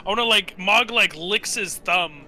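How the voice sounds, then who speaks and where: A man's voice: high-pitched, normal speed, sharp tone. A man chatting casually and playfully as a player in a tabletop game. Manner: informal, playful.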